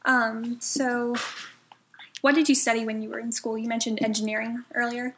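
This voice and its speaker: A woman's voice: a little high, flat delivery, moderate pace, a bit bright, slight background noise. A young woman student interviewing someone for a class, asking hesitant questions with many um and like fillers. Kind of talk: oral history interview.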